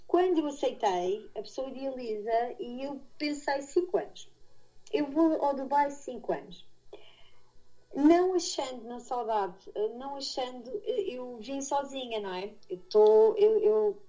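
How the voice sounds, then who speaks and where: Chatty Portuguese woman, softly mellow voice. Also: a little high, rough recording. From Madeira, Portugal. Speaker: interview guest, expatriate aviation instructor. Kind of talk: casual conversational interview answers.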